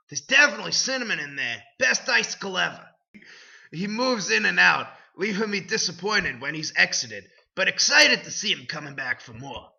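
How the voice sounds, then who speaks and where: A man reads aloud with an overwrought, theatrical delivery and throws in dry side remarks. His voice is gravelly and very bright, and it leaps around in pitch. It is captured on a dull, lo-fi recording. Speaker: dramatic reader. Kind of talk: over-the-top dramatic reading.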